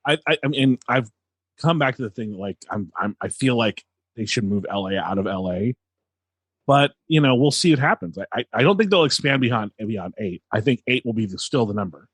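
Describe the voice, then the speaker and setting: Male co-host for sports commentary: slightly rough, husky voice, mid pitch, halting, casual, dry recording. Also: animated, dry recording.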